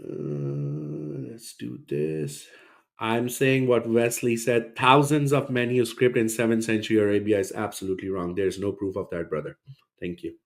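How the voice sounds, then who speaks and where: A man's voice: on the low side, moderate pace. A man hosting a reaction video, rebutting a podcast clip in a scornful, sarcastic tone. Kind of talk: reaction commentary.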